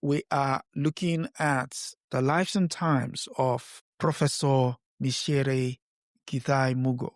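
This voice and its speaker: A man hosting a podcast, introducing and questioning his guest in measured, formal phrasing. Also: mid-range voice, steady tone, no room sound, clean studio sound. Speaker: podcast co-host.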